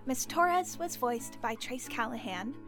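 A woman's voice: on the high side, animated, measured pace, music in the background. A woman giving a scripted narration as a credits announcer.

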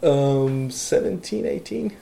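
Gravelly-voiced Iranian-born man, halting. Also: mid-range voice, lively intonation, mellow. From Iran. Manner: halting, with many fillers and restarts. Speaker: guest, electronic music producer. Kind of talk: casual interview conversation.

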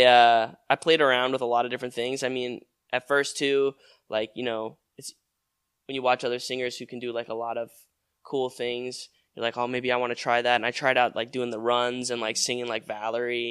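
A man's voice: mid-range voice, fairly bright tone, clean tone, dry recording, studio quality. A man in his twenties, a musician being interviewed, talking in a rambling casual way with many fillers and restarts. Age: 20s. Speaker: musician guest.

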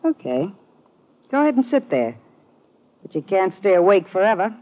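A woman's voice: medium pitch, very animated, moderate pace, lightly acted. An actress playing a cynical young American woman in a 1950s radio crime drama, brassy, sarcastic and curt. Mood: scornful. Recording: muffled, noisy vintage radio recording.

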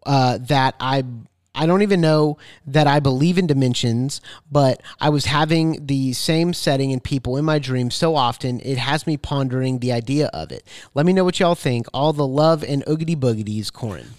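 A man co-hosting a casual podcast, reading listener messages aloud in a playful, expressive way. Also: medium pitch, moderate pace, dry recording, clean studio sound. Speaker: podcast co-host.

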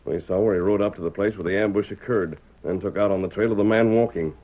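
A man's voice: lowish voice, steady tone, close to an everyday voice. Man playing a heroic cowboy lawman, tinny old radio. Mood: earnest, pondering. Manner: crisp, deliberate, formal reasoning aloud. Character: heroic masked cowboy lawman, commanding and earnest. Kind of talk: old-time radio western acting. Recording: tinny, narrow-band vintage radio recording.

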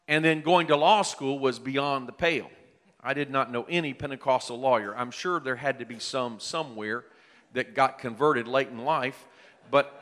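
Bright-voiced man preaching in a hall. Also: medium pitch. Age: 50s. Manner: earnest, rising to emphatic peaks. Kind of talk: sermon with personal testimony. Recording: long hall reverb.

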